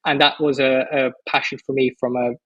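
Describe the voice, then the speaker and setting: A man's voice: medium pitch, flat delivery, normal speed, fairly bright tone, lo-fi sound. A man around forty from the Netherlands, a physician speaking as a podcast guest in an articulate, enthusiastic way. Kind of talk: podcast interview.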